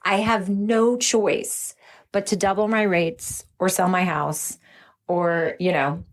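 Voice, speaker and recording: A woman's voice: lowish voice, fairly even intonation, takes it slowly. A woman speaking as an interview guest, sharing in a conversational, earnest and effusive way. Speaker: interview guest, recovery program veteran.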